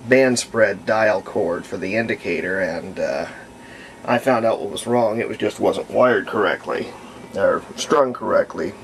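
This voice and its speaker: A man, middle-aged, giving an unhurried, rambling, step-by-step commentary on hands-on restoration work, recorded indoors at home. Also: lowish voice, slight background noise, poor audio. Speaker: hobbyist radio restorer presenting own repair videos. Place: indoors at home, partly in a bathroom.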